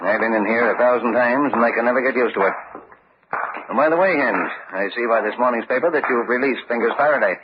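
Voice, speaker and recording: An actor playing a formal, precise medical examiner in a vintage radio mystery, speaking in a clinical, pondering way. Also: male voice, mid-range voice, quick pace, close to an everyday voice. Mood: conversational, reflective. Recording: dull, hissy old radio recording.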